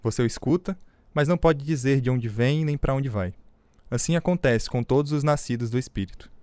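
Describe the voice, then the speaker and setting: Actor as clear-voiced Brazilian spiritual adviser. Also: male voice, mid-range voice, a little fast, warm tone, no echo, lightly acted. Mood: assured. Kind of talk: radio drama dialogue.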